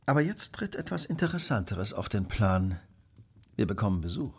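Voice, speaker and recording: A man's voice: on the low side, big pitch swings, moderate pace, a little hiss or hum. An actor playing a brilliant, smug Victorian detective in a German radio play, precise and lecturing in his delivery. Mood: smug, explanatory. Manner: precise, self-assured, lecturing.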